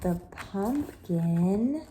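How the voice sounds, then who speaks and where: A woman's voice: mid-range voice, animated, drawn-out words, noisy background. A woman narrating a children's storybook expressively, as if reading aloud to young listeners.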